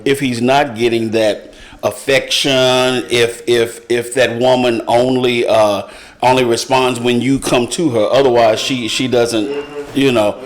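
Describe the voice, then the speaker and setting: A middle-aged man in a casual panel discussion, speaking haltingly and repeating his words as he thinks. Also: mid-range voice, steady tone. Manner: halting, repeats words, often says "you know". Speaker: panelist on a talk show.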